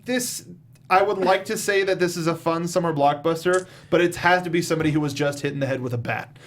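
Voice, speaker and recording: A man with a fairly high voice hosts a loose panel chat about films. He is sardonic and plays up his exasperation in a casual, comedic way. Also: quick pace. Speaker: host of a movie review talk show.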